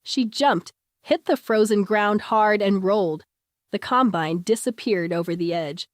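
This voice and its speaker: A woman's voice: medium pitch, unhurried, dry recording, clean studio sound. A woman reading a scripted story as a dramatic audiobook narrator.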